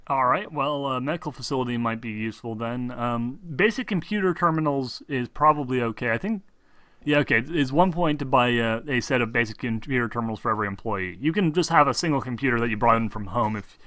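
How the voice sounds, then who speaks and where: Guy game master, bright forceful voice, dry close mic, sweary and exasperated while he explains rules. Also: medium pitch, fast, no room sound. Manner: sweary, exasperated banter with players. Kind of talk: casual tabletop game explanation.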